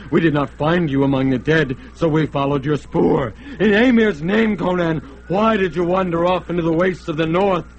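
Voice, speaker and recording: An actor playing a loyal northern warrior in a radio drama, speaking earnestly and urgently. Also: male voice, on the high side, fairly even intonation, normal speed, hardly any pauses, a little hiss or hum, close to an everyday voice. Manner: earnest, urgent, archaic speech. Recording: dull lo-fi old recording.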